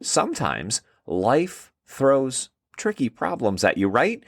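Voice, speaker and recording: A man's voice: mid-range voice, wildly swooping pitch, takes it slowly, gravelly, no echo, clean studio sound, voice pushed up, heavily acted. A man voicing an upbeat, encouraging brainy frontal lobe character who instructs in a children's story.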